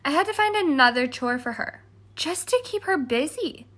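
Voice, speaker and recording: Woman reading as a haughty, high-pitched stepmother. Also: animated, normal speed, fairly bright tone. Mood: exasperated. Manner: haughty, dramatic, emphatic. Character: exasperated, self-justifying stepmother telling her side. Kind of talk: scripted children's storybook read-aloud.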